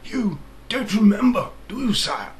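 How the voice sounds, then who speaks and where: A man voicing a dutiful high official of a royal court in a storybook reading, formal and deferential. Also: sing-song, unhurried. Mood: patient, formal.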